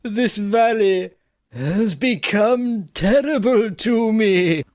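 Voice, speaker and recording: An earnest, fretful suitor from a stage parody, acted out. He is fussy and exclamatory, stretching words slowly with lively swings in pitch, recorded close and dry. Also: no echo. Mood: fearful, solemn. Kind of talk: comic stage-play acting.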